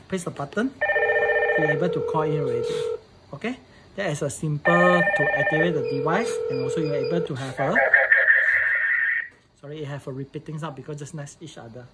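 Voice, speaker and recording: Higher-voiced man with noise behind him. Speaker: presenter of a product setup tutorial. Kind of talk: casual hands-on tutorial narration.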